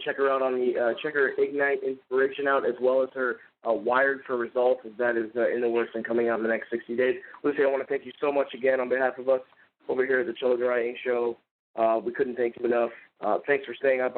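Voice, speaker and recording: A man's voice: mid-range voice, a little hiss or hum. A man hosting a podcast, wrapping up the show with effusive thanks and rambling sign-offs. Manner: effusive, rambling with fillers and restarts. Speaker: podcast host. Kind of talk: casual show outro. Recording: phone-like narrow line.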